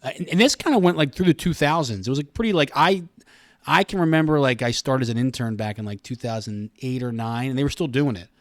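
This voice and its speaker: A man's voice: medium pitch, fast, dry recording, studio quality. An American man in his thirties explaining things in a chatty, wordy way as a guest in a casual interview. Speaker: radio station communications director, interview guest.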